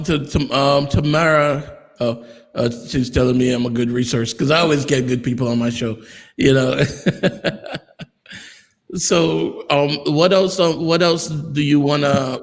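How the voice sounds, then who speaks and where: A relaxed male host who chuckles often and hunts for words with plenty of ums. His voice trails off into a long, reverberant tail. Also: mid-range voice, moderate pace. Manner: friendly, laughs often, many ums. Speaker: host of interview show. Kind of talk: casual interview. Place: South Florida.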